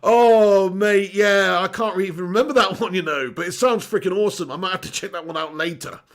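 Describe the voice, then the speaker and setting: A man hosting a live stream, reading out viewer comments excitably, laughing often and swearing casually. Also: high-pitched, fairly quick. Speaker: host.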